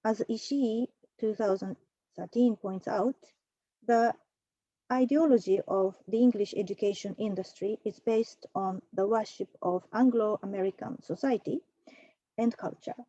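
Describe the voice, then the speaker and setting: A woman presenting a prepared academic talk at an online conference, measured, with a few fillers. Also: medium pitch, steady tone, dark tone, no echo, highs cut off. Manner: measured, reads from a prepared text with occasional fillers. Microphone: computer mic for an online talk.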